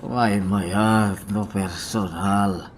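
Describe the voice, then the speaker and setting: A man acting as a measured but insistent, lovesick boss in an Ilocano radio drama. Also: fairly low pitch, fairly even intonation, slight background noise. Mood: yearning. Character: insistent lovesick boss pining to see a woman.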